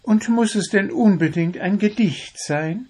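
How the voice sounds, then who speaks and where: A man's voice: sits high, a little slow, a little mellow, slightly rough, pitched above the performer's own voice. A man voicing a small, proud, cajoling blue bird with romantic airs in a storybook. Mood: proud, critical. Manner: confident, cajoling, unruffled. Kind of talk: storybook character voice.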